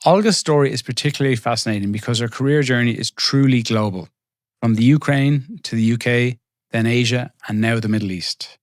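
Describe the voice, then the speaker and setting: A man hosting a finance podcast, reading a scripted introduction and then chatting with his guest in a friendly, conversational way with quick asides. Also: mid-range voice, dry recording, clean studio sound. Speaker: podcast host.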